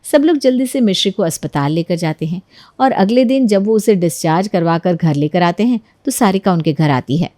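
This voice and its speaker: A woman's voice: fairly low pitch, animated, fairly quick, mellow, no echo. Woman narrating stories, dry close sound. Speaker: story narrator. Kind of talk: scripted story narration.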